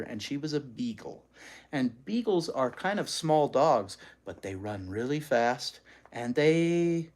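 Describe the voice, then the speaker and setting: Animated, folksy storybook reader. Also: medium pitch. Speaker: host reading children's books aloud. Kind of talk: storybook read-aloud with chatty asides.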